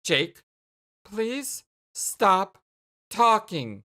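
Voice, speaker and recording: A woman's voice: mid-range voice, big pitch swings, full character voice. A woman voicing an exasperated, hot-tempered young woman in a dramatic dialogue, stretching her words out emphatically. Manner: emphatic, drawn-out, shouting outbursts.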